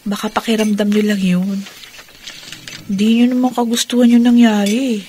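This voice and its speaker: A woman's voice: fairly high pitch, little pitch movement, normal speed, dark tone, slight background noise, close to an everyday voice. An actress playing a polite, concerned young village woman in a radio drama, with earnest questions.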